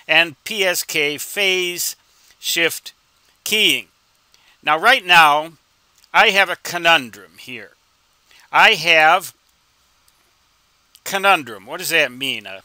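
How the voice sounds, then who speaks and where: A man in his sixties giving an informal explanatory tutorial, with deliberate, emphatic phrasing and rhetorical questions. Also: on the high side, pushed and bright, clear, resonant tone, dry recording. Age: 60s. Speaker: instructor and ham radio hobbyist.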